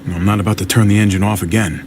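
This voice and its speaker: An actor playing a weary, protective survivor in a video game drama, talking in a low-key, conversational way. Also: male voice, steady tone, racing, background noise, close to an everyday voice. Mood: tense, practical. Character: Javi, weary protective man surviving a zombie apocalypse.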